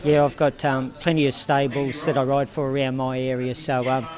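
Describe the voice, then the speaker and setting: A man's voice: medium pitch, level tone, moderate pace, a crowd in the background. An Australian man from the Hunter Valley, a jockey, talking in an easygoing, hesitant way after a race. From Hunter Valley, New South Wales. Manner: easygoing, hesitant with many ums. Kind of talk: post-race interview.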